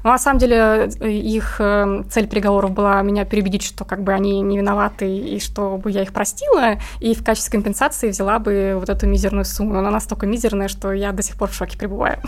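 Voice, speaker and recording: A woman's voice: mid-range voice, steady tone, fast, few pauses. A woman talking casually and informally as an interview guest, explaining things in a conversational way. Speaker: interview guest, comic artist.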